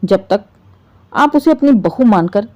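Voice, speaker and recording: A woman's voice: mid-range voice, animated, a little slow, darkish tone, close to an everyday voice. A woman voicing an upset young married son who is earnest and reproachful in a family drama story. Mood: upset, resolute.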